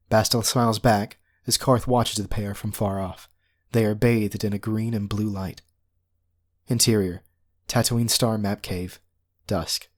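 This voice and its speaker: Low-voiced man narrating scene directions. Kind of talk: scripted narration of screenplay directions. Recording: faint background noise and ambience.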